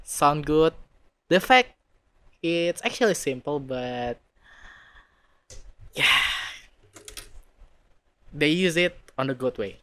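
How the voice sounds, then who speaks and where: A man giving casual reaction commentary as a video editor, with halting, non-native-sounding phrasing. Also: on the high side, bright, forceful tone.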